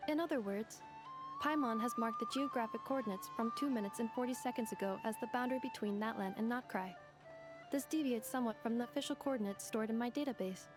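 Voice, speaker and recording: Woman as a formal, high-voiced robot girl. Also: music in the background, natural voice. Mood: matter-of-fact. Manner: formal, precise, measured. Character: polite, literal-minded female robot companion. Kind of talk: video game voice acting.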